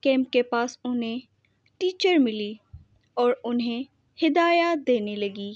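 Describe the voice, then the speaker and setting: A woman's voice: a little high, lively intonation, slow, a little mellow, dry recording, muffled recording. A woman reading a scripted children's story as its narrator.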